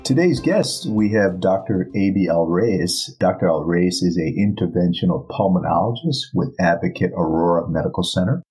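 Man, podcast host, very low voice, husky tone, encouraging questions. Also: lively intonation, moderate pace, hardly any pauses, darkish tone, soft background music, rough recording. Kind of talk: interview hosting.

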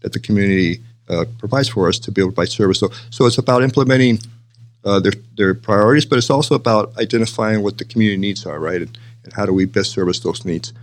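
A man's voice: fairly low pitch, quick pace. An older man from Texas answering interview questions in a conversational, explanatory way, often checking in with "right?" and "you know". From El Paso, Texas, United States. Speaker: interview guest, city manager.

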